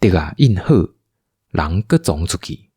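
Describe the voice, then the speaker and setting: A man's voice: sits low, big pitch swings, measured pace, darkish tone, husky, no echo, studio quality. A man with a Taiwanese accent narrating a radio drama as a storyteller.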